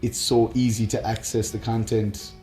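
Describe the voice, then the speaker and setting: A man's voice: on the low side, level tone, moderate pace, warm tone, roomy sound, faint music behind. A man chatting casually and enthusiastically as a podcast host, opinionated and free with swearing. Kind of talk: casual chat about games and films.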